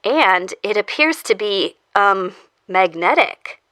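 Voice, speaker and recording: A woman's voice: medium pitch, expressive, slow pace, a bit bright, no echo. A woman playing a polite scientist who explains things clinically in an audio drama. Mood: clinical, explanatory. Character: polite female scientist researching a zombie immunity.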